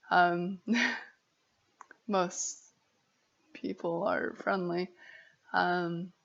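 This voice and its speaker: An American woman chats casually to camera with a stutter and a creaky voice. She speaks very slowly and haltingly, apologetic and giggly as she goes. The audio is dull and slightly muffled. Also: medium pitch. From Arizona, United States. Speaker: vlogger.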